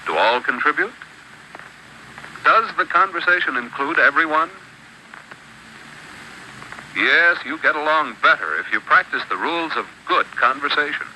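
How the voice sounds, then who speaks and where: Bright, lively male educational narrator. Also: fairly high pitch, slight background noise, rough recording. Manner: measured, instructive, lists rules one by one. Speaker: narrator of an instructional film. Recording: old archival film soundtrack.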